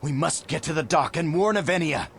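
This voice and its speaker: Animated wary rebel fighter. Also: male voice, a little high, fairly quick, gravelly, a little hiss or hum. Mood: alarmed. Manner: questioning, dramatic. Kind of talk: video game cutscene acting.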